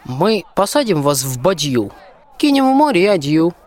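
A man's voice: high voice, very animated, normal speed, a little mellow. A man in a radio play acting a bold, plain-spoken peasant soldier who scolds in folksy rhymed verse. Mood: judging. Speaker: school student actor.

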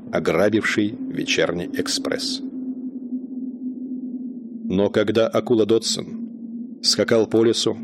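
Low-voiced man narrating a story. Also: noisy background. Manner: measured storybook reading. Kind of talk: scripted literary narration.

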